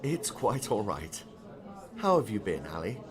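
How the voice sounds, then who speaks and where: A man's voice: on the low side, very animated, measured pace, crowd noise. A man playing a genial, eccentric older novelist with a polite, quaint, chatty manner in an audiobook. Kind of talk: audiobook character dialogue.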